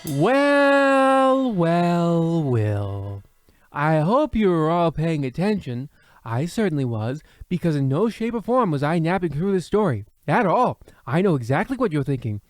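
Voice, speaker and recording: A man's voice: on the high side, big pitch swings, unhurried, no room sound. A man playing a theatrical, rambling host of a spooky old-time radio show, hammy and insincere. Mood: sly.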